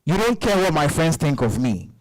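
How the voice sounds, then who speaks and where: A man acts a frustrated, aggrieved husband for a dramatized novel reading. He pleads and declaims, his voice on the high side and very animated in pitch. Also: normal speed, low-quality recording. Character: frustrated, aggrieved husband complaining about his career-minded wife.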